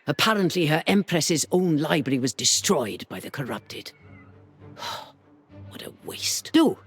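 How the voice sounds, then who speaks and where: An actor playing a curious, scholarly researcher in a game cutscene, with a dry, musing manner. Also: male voice, measured pace, slightly rough, no room sound, exaggerated voice. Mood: weary. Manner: dry, musing, lively pitch. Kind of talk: video game cutscene acting. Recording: game audio with faint music.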